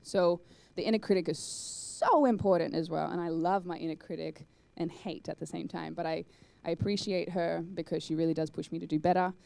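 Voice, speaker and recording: Casual speaker, young woman, low fry-edged voice, talks on stage into a handheld mic. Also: fairly quick, few pauses, no room sound. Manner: chatty, animated, lots of "like" and "um". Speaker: conference speaker. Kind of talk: live talk and Q&A. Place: large dark cinema hall on stage.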